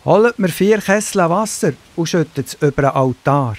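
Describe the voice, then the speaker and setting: A man acts out a self-assured Bible prophet with a high, very lively voice. He commands and mocks with confidence, in the manner of a children's radio play, over faint noise. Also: moderate pace, natural voice. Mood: calm confidence. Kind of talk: children's audio drama acting.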